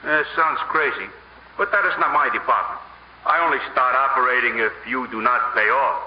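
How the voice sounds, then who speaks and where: Male actor as a deadpan gangland debt collector, oddly formal, quietly menacing. Old radio comedy sound, echoey and muffled. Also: mid-range voice, normal speed, a little hiss or hum, natural voice. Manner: formal speech without contractions, deadpan. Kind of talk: 1950s radio comedy acting.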